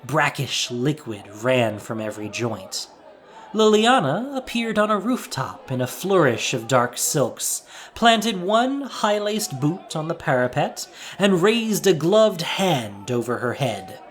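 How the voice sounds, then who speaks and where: A man narrates an audio drama in a dramatic storytelling style. His pitch moves expressively up and down as he reads the scripted action. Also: big pitch swings, takes it slowly.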